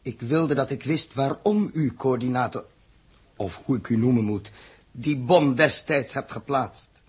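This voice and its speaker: Raspy, distrustful spaceship captain. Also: male voice, medium pitch, measured pace. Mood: accusing. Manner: blunt, distrustful. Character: suspicious, impatient male spaceship captain. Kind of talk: 1960s radio drama acting.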